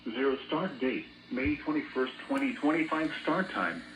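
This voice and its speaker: A man's voice: mid-range voice, moderate pace, roomy sound, far from the mic. An American man's synthetic voice reads a scripted weather bulletin over weather radio in a mechanical announcer's delivery. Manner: flat, mechanical synthetic delivery, reading out codes and figures. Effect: weather radio broadcast. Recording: muffled, noisy weather radio picked up off a speaker in a room.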